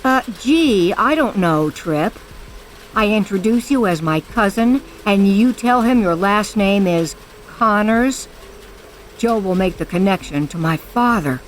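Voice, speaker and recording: With faint noise behind her, an actress plays a guarded woman in an audio drama. She speaks slowly in a mid-pitched voice, terse and stern about her past. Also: animated, lightly acted. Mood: grudging.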